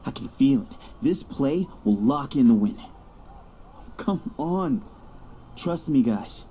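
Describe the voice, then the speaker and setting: A man's voice: medium pitch, lively intonation, takes it slowly, mellow, husky. An actor playing a young star quarterback, cocky yet anxious, narrating his own story in an audio drama. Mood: determined.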